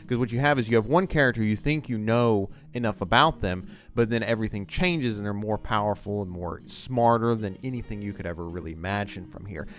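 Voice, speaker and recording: A man hosting a video essay, explaining things in a loose, conversational and emphatic way. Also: lowish voice. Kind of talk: casual, unscripted explanatory commentary on TV writing.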